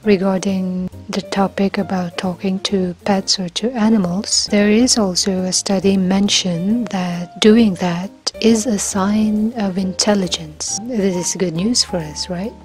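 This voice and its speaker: A woman from the Philippines, talking slowly and softly about learning languages, sometimes dropping to near-whispers. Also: medium pitch, fairly even intonation, soft background music. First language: Filipino. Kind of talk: slow reflective monologue.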